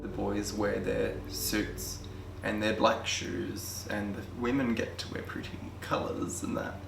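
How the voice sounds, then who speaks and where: Low, gravelly Australian man, heard from far off. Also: level tone, a little slow. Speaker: interviewee. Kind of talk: reflective documentary interview. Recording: distant, echoey, with music behind.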